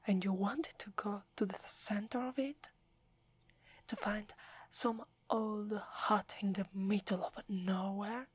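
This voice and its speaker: A haughty sixteen-year-old werewolf girl, whispered by a woman with an Italian accent, breathy and airy, right up at the mic. She drawls slowly, proud and wary, scolding in a hush like the forest is hers. The ASMR roleplay keeps every word soft and close. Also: medium pitch. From Italy.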